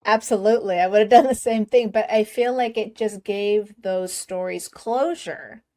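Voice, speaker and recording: A woman's voice: mid-range voice, normal speed, warm tone. Bubbly woman podcast host. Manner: bubbly, laughs often, enthusiastic. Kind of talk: casual fan interview.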